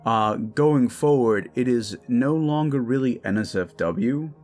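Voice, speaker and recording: A man's voice: medium pitch, measured pace, a little mellow. A man, an audio drama creator, casually and hesitantly thanking his listeners in an informal outro.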